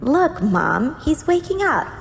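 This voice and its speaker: A creepy little girl in a horror drama, cold and accusing. Her speech is slow and heavily creaky, swimming in long reverb. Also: sits high, very animated, slight background noise, full character voice. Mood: eerie, cold. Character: eerie little girl, cold and judging predator. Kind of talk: horror audio drama acting. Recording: long echoing reverb, music behind.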